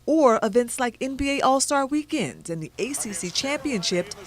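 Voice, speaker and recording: A woman's voice: sits high, expressive, normal speed, dry recording. A woman giving a scripted live news report as a TV reporter. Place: outside an arena in a city.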